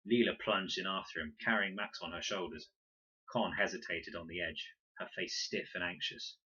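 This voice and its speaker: A man reading a children's adventure story aloud in an expressive, dramatic storytelling manner. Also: deep voice, normal speed, husky, dull audio. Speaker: audiobook reader and teacher reading aloud. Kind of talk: animated scripted narration of a children's adventure story.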